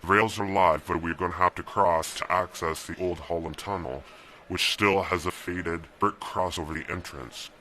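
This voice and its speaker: An actor playing a newlywed young man planning an escape from a dystopian regime, talking calmly and giving steady instructions in an amateur radio drama. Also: low voice, moderate pace. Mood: instructing.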